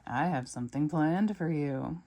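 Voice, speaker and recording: A woman's voice: sits low, unhurried, warm tone. A woman reading a storybook aloud, voicing a big, boastful male villain who swings between jovial and menacing. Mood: friendly.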